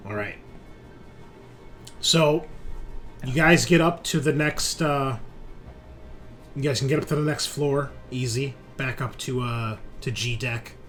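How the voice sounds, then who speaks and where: A man acts as game master for a tabletop roleplaying game. His voice is middling in pitch, and he narrates in an improvised, halting way with pauses. Faint music plays behind him. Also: fairly bright tone. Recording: music playing under the voice.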